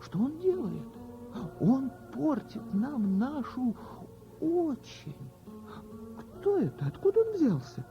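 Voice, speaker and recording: Actress as alarmed townsfolk, high and agitated. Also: female voice, huge pitch swings, slow pace, heavily acted. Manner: exclaiming, agitated. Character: alarmed, indignant townspeople in a crowd. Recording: dull radio recording with music behind.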